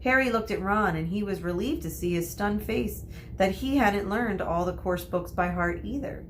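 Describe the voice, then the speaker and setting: Lady teacher doing read-aloud story narration, medium pitch, some noise in the recording. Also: moderate pace.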